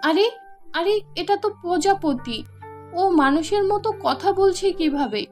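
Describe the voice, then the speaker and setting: A woman's voice: very high voice, animated, a little slow, soft background music, voice pushed up. A woman voicing a kind, patient young seamstress, polite and earnest, in a children's cartoon. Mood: puzzled.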